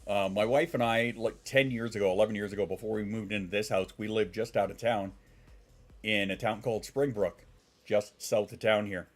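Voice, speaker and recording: A man's voice: on the low side, fairly even intonation, moderate pace. Congested man chatting on a stream. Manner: swearing, rambling, reacting to chat. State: congested, sniffling. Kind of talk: casual live-stream chat. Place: home.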